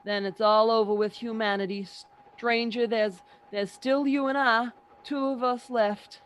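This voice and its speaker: A woman playing a weary, haunted survivor in a radio play reading, speaking haltingly and deliberately. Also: fairly high pitch, steady tone, close to an everyday voice. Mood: anxious. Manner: halting, deliberate, repeats words. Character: weary, haunted survivor wandering a ruined land. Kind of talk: radio play reading, dramatic first-person narration.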